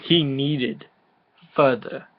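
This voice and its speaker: Slow-talking tipsy woman reading dramatically. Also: rumbling low, fairly even intonation, lo-fi sound, noisy background. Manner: unpolished, giggly, stumbles and swears over the text. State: drunk. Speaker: amateur co-reader of a fan story. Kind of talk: mock-dramatic reading aloud with casual asides.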